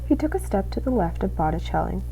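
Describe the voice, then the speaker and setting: Young female student, storybook narration, expressive, animated pitch, soft mellow tone. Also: quick pace, gravelly, a little hiss or hum, poor audio. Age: young adult. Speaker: student reading a storybook aloud.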